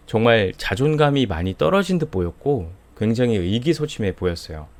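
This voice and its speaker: A young man from Seoul hosting a voice channel, gently retelling a viewer's story and giving his view. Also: lowish voice. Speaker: host of a voice channel. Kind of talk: storytelling monologue to camera.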